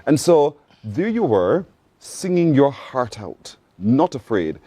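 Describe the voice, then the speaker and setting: A man's voice: mid-range voice, big pitch swings, slow, warm tone, slightly rough, no echo. A man with a Barbadian accent hosting a morning TV show, upbeat and encouraging, often repeating his guest's answers back. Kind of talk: TV interview.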